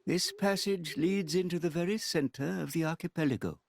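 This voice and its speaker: An actor playing a solemn, wise old village guardian in a video game cutscene, with a husky voice. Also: male voice, on the high side, fairly quick. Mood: grave.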